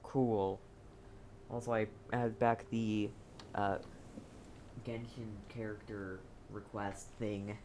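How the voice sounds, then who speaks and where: A woman's voice: rumbling low, little pitch movement, slow, pauses often, dark tone, slight background noise. A young woman giving casual game commentary as a streamer, often hesitant and repeating herself.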